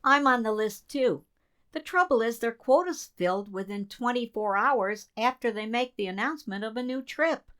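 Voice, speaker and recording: A woman's voice: fairly high pitch, lively intonation, moderate pace, fairly bright tone, clean tone. A woman in a community radio play, playing an earnest assistant code breaker in a conversational way. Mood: musing. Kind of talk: community theater radio drama dialogue.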